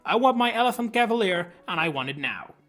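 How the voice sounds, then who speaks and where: A young man with a Dutch accent, slightly high-voiced and highly animated, narrates his scripted video essay close to the mic. His delivery is enthusiastic, with wry little asides, and faint music plays softly underneath. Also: brisk. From Netherlands. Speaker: YouTube host. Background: faint background music.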